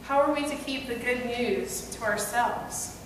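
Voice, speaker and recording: A woman's voice: a little high, unhurried. A young woman pastor at a church pulpit, preaching a first-person story sermon in an earnest, conversational storytelling manner. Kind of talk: first-person dramatic monologue sermon as Peter. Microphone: gooseneck pulpit mic, far camera. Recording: echoey hall, distant and noisy.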